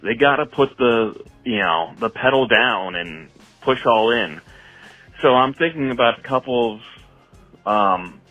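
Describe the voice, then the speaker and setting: A man calling in as a sports fan, leaving a casual voicemail in halting, short phrases on a lo-fi recording. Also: mid-range voice, lively intonation, soft background music.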